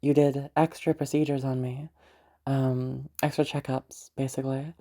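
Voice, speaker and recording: A woman playing a teasing, flirtatious, unhinged patient in a roleplay audio drama, rambling hesitantly with many pauses and hums. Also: rumbling low, little pitch movement, warm tone. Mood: wistful confession. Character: teasing, rambling, flirtatious crazy female patient confessing to her doctor. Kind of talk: roleplay audio drama, intimate one-sided conversation.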